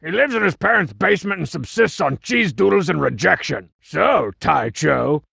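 A swaggering cartoon villain from a video game, juvenile and full of himself. The voice is heavily gravelly and forceful, swooping up and down as it brags and mocks in slangy tough-guy style. It comes across as broad, exaggerated comic acting. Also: on the high side, animated, normal speed, no room sound, exaggerated voice. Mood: mocking, boastful. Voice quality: raspy, gravelly growl. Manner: bragging, mocking, slangy tough-guy delivery. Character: cocky, boastful cartoon wrestler-masked villain, juvenile troublemaker.

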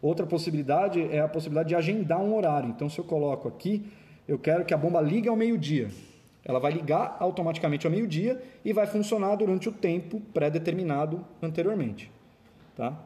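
A man's voice: a little high, fairly quick, warm tone. A man with a Brazilian accent gives an informal, step-by-step equipment demonstration in an explanatory way. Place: indoor office or lab.

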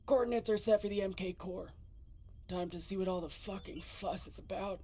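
A gruff, world-weary woman spaceship pilot in a sci-fi game. Her voice is husky and sits at a middling pitch. She speaks tersely and sardonically, keeping her guard up. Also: fairly even intonation, moderate pace, mellow. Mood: businesslike. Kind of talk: naturalistic video game acting.

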